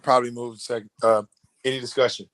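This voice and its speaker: A man's voice: mid-range voice, level tone, quick pace, fairly bright tone, no room sound. A man chairing a virtual board meeting, speaking in formal procedural phrases with a polite, somewhat hesitant delivery.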